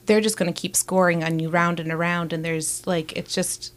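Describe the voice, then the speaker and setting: A lower-pitched woman chatting casually as an interview guest. She talks in a bubbly, loud way and laughs often between thoughts. Her voice sits a little low for a woman. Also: flat delivery, moderate pace. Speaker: roller derby skater, guest.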